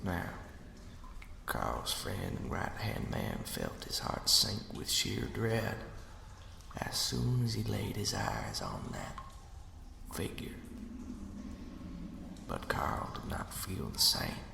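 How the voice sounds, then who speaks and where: A man narrating a ghost story as ASMR in a hushed, slow, dramatic whisper with a breathy, gravelly edge. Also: low voice, wildly swooping pitch, noisy background. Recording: echoey, long cavernous reverb.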